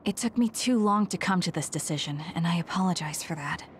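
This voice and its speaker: A woman voicing a serious young female platoon leader, measured and formal, in an anime-style game. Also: fairly low pitch, expressive, slight background noise, close to an everyday voice. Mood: apologetic, sincere.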